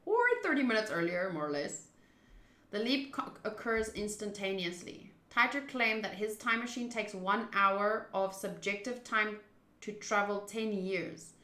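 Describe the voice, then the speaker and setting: Bright-voiced woman streaming in an echoey room. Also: medium pitch, a little slow. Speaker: game streamer. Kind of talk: live visual novel playthrough, reading text aloud and chatting.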